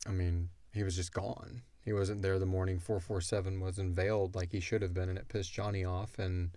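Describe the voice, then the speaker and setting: A man's voice: low-pitched, no echo. A man hosting a casual Q and A, explaining in an informal, rambling way. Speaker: podcast host. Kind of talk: casual Q and A discussion.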